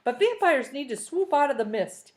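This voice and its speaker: Woman reader doing a sly, jittery storybook trickster man, smooth and coaxing. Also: sits high, big pitch swings, normal speed, darkish tone, pitched above the performer's own voice. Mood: scheming. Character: twitchy mischievous male trickster sibling.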